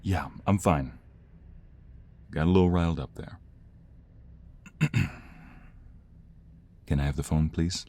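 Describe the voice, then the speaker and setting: Man acting as deep-voiced protective mobster lover. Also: takes it slowly, pauses often, a little mellow. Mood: calming down. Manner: soothing, intimate, earnest. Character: protective young mobster's son, tender lover, menacing when threatened. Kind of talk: ASMR roleplay audio drama acting.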